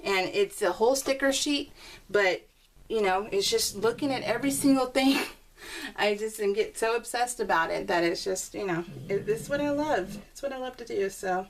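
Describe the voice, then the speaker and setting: A woman's voice: medium pitch, normal speed. Chatty woman, slightly distant mic. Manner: chatty, informal, rambling. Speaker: crafting video host. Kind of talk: casual chat to viewers while crafting. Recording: distant mic with faint background noise.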